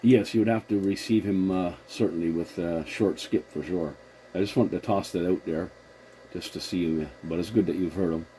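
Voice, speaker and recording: A man's voice: low voice, fairly even intonation, moderate pace, warm tone. A man chatting casually as a CB radio operator, agreeable and a little hesitant, with a noisy recording. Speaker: CB radio operator hosting the contact.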